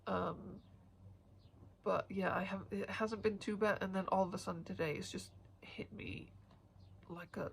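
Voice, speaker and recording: A woman with a blocked, sniffly nose talks to camera in a loose, rambling way, pausing often with hesitant fillers. Her voice has a gravelly, fry-tinged texture. She keeps her pitch flat and even throughout. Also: a little hiss or hum. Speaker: cross-stitch vlogger. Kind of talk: casual chat to camera.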